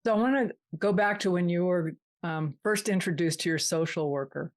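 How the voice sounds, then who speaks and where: A woman's voice: lowish voice, fairly quick. A woman hosting a podcast, asking thoughtful, probing questions. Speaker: podcast host. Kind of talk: interview questions.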